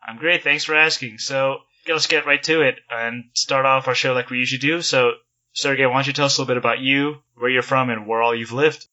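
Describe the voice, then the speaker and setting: A man's voice: mid-range voice, fairly even intonation, brisk, sharp tone, no room sound, muffled recording. A man hosting a podcast, reading an ad and introducing his guest in an upbeat, scripted way. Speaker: podcast host.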